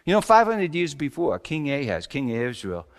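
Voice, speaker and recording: A man playing Joseph, a wry, bewildered carpenter, in a halting, colloquial and theatrically emotional dramatic monologue. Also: fairly high pitch, huge pitch swings. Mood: wry, puzzled. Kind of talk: dramatic comic monologue in a sermon. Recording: echoey hall with long reverb.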